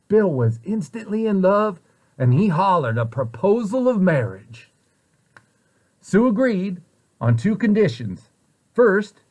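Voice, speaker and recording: A man reads a storybook aloud for children. His voice sits a bit high for a man, and he shapes the sentences expressively and deliberately, like a practiced storyteller. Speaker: site director reading a picture book to children.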